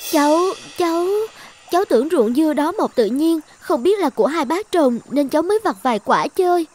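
A woman voicing a gentle, timid young maiden in an animated folk tale. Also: high-pitched, brisk, a little hiss or hum. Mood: timid, pleading.

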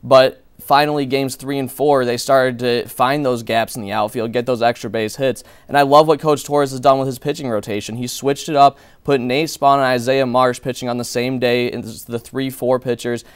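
A man's voice: medium pitch, steady tone, moderate pace, few pauses, clean tone, no room sound. A young man working as a sports analyst in a small TV studio, breaking down games and players confidently and fluently. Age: young adult.

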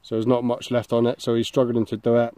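A man's voice: medium pitch, monotone, quick pace, dark tone, dry recording. Chatty English man filming outdoors. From England. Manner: chatty, calls his son mate. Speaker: bushcraft vlogger. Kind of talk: casual outdoor vlog. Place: woodland and grassy campsite outdoors. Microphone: handheld camera.

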